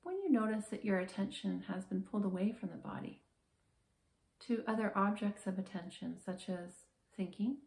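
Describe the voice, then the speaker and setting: A woman guiding a body scan meditation in a calm, gentle, measured manner with slow, soothing pauses. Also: medium pitch, vocal fry, reverberant. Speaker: meditation guide, therapist.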